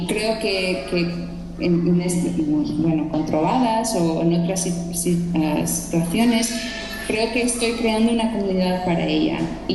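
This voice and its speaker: Hesitant woman with an American accent, echoey hall. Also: mid-range voice. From Chicago, United States. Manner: hesitant, many restarts and fillers. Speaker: student speaker at a gathering. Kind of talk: personal testimony talk. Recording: echoey hall with long reverb and music.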